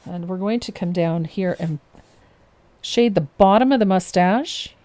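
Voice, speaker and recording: A woman in her fifties hosting a live painting lesson, calmly explaining each step and laughing often. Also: medium pitch, unhurried. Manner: calm step-by-step instruction with frequent laughs. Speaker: painting instructor and host.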